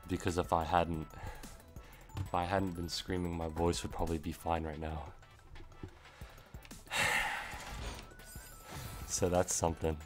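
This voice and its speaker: A young man streaming a game from home, his voice hoarse and gravelly from screaming, rambling and swearing as he plays. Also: low-pitched, little pitch movement, unhurried, pauses often, soft background music. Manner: rambling, swears when frustrated. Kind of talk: live gameplay stream chat. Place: home room.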